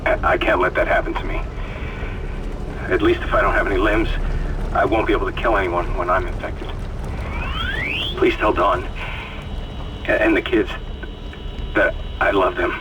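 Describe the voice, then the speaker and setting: A man playing a doomed engineer in a dramatic video game, grim and halting, heard in a distant, noisy log recording. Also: sits low, fairly even intonation, close to an everyday voice. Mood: grim despair. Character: doomed engineer in agony, leaving a final report. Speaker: game character, ship engineer.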